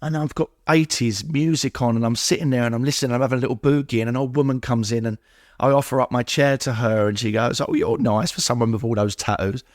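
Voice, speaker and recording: A middle-aged man tells a personal story as an interview guest. His voice sits at an everyday male pitch and is recorded dry and close. He acts out bits of dialogue as he goes. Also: fast talker, no room sound. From England. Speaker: podcast guest.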